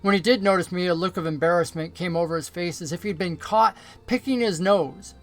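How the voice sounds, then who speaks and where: A man with a fairly high voice narrates a story expressively. He reads scripted prose aloud, with music behind him. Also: a little fast. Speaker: story reader, pastor.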